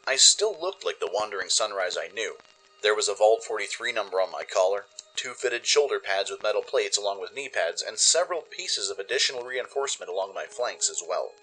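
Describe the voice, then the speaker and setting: Bright-voiced man narrating dramatic fiction. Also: lowish voice, expressive, fairly quick, low-quality recording. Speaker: narrator of a fan radio play. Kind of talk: first-person dramatic story narration. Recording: music under the narration. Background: music.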